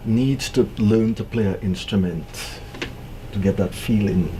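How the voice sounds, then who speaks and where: A man's voice: fairly low pitch, unhurried, mellow, slight background noise, rough recording. A man hosting a radio interview in a studio, easygoing and agreeable, backing his guest with short affirmations. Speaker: radio show host.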